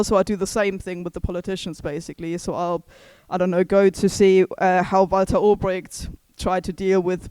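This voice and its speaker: Measured woman historian, smooth voice. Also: medium pitch, darkish tone, dry recording, poor audio. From East Germany. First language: German. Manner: thoughtful, hedging with many fillers and restarts. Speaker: historian and author. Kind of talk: book launch talk.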